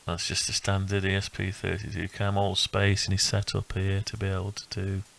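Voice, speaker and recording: A man giving a casual, unscripted walkthrough of a hobby build, thinking aloud in a halting, rambling way. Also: deep voice, monotone, gravelly, fairly bright tone. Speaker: electronics hobbyist presenting own build.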